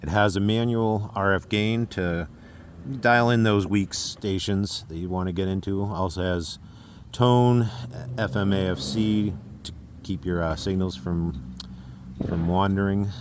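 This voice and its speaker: An American man casually presenting a demonstration as a hobbyist, with a halting, unscripted delivery. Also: deep voice, a little hiss or hum.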